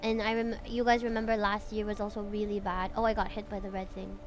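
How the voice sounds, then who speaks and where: A woman's voice: mid-range voice, flat delivery, fast, over music. A young woman with a Filipino English accent chatting casually with viewers while playing a game, greeting chat and swearing playfully. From Philippines. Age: young adult. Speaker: streamer.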